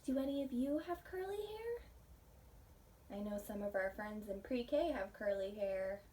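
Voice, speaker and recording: An American woman, a pre-K teacher, reading a picture book aloud and explaining it simply to young children in a gentle, child-directed way. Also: mid-range voice, animated, unhurried. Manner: gentle, child-directed, asks the listeners questions. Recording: distant mic, faint background noise.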